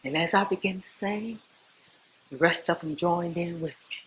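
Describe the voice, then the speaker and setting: A woman playing a devout, resolute Southern civil rights activist, telling her story to church in a theatrical, folksy monologue with dramatic pauses and repetition. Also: on the low side, slight background noise, natural voice, low-quality recording. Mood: earnest, relieved.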